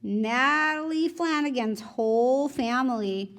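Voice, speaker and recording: A woman teacher reading a novel aloud in expressive, conversational first-person narration, like a classroom read-aloud. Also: sits high, slow. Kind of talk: read-aloud storytelling with brief teaching asides.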